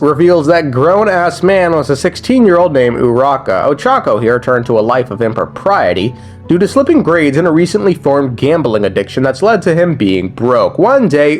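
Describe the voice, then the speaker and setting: A young man giving a casual, slangy and profane recap commentary as a gaming video creator, joking as he goes. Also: on the high side.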